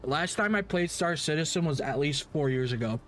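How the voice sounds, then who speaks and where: A man's voice: on the high side, expressive, moderate pace, fairly bright tone. A man giving casual livestream commentary on games as the host, rambling and opinionated, with casual swearing.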